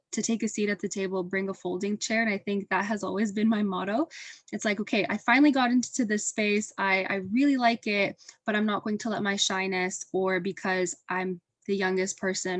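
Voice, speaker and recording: A woman's voice: medium pitch, no room sound. A young woman answering as a panelist in a reflective, conversational and personal way, earnest in her delivery. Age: young adult. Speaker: webinar panelist. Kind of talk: earnest spoken interview answer.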